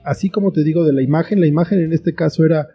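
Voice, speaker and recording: A man's voice: fairly high pitch, rapid-fire, muffled recording, soft background music. A man talking as an interview guest, explaining his thoughts reflectively in short phrases with examples. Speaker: guest, children's book author and screenwriter.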